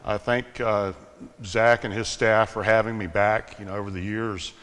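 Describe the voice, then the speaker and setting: Older guy giving a talk, kinda monotone, low-ish voice, big reverby hall. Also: moderate pace. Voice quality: slightly monotone. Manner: relaxed, self-deprecating, loose with fillers. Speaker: conference speaker. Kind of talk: conference presentation. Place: conference hall.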